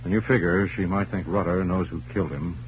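A man plays a hard-boiled American newspaper editor from a vintage radio drama, with a low-pitched voice. He is brisk, wry and commanding. The sound is muffled and noisy. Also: steady tone, natural voice. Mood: wry, probing. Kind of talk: 1940s-50s radio drama acting.

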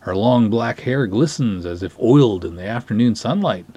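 Male reader as florid, dignified patrol cop telling his tale, husky, animated pitch, storybook drama. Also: dull audio. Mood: enchanted. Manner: pompous, florid, deliberate storytelling. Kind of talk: dramatic short-story reading.

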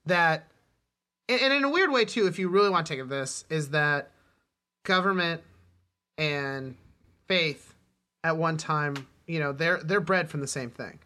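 A man co-hosting a casual film discussion, emphatic but often halting as he searches for words. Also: on the high side, pushed and bright. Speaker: podcast co-host.

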